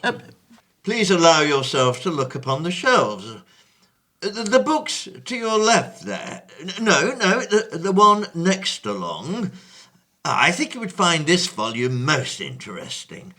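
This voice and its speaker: An actor playing a distinguished, bookish gentleman of the 1820s who hesitates often and speaks in a grand, fussy way. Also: on the high side, sing-song, unhurried, heavily acted. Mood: courteous. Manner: hesitant, full of ums and uhs, fussy and grand. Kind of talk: amateur stage play audio.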